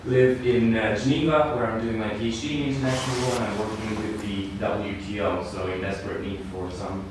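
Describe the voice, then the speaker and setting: A young man with an Italian accent introduces himself informally in a low voice. He sounds far from the mic in a reverberant room with clear background noise. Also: steady tone, a little fast. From Italy. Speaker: PhD student introducing himself. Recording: very echoey room, distant mic, noisy.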